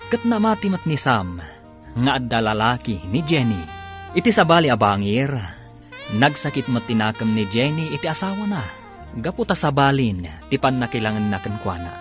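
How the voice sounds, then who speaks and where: A male narrator with a lively, swinging pitch and an announcer's delivery. Music plays clearly beneath him as he recaps the radio drama. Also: moderate pace.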